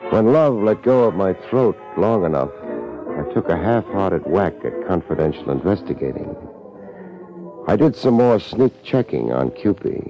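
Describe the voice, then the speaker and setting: A man's voice: low voice, expressive, normal speed. A man acting as a world-weary, wisecracking private detective in a 1950s radio drama, talking in wry hardboiled slang. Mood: brooding, wry. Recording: muffled old radio drama with music.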